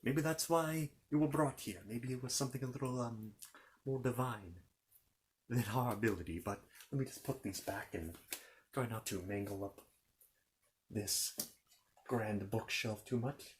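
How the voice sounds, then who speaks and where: A man's voice: medium pitch, measured pace, long pauses, slight background noise, close to an everyday voice. A man playing a fussy, courteous storybook keeper of histories in an ASMR roleplay, hesitant and rambling as he tells his tale. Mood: fussy, musing. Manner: hesitant, rambling, apologetic, repeats himself. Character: fussy, courteous Narnian bookkeeper recounting his world's history.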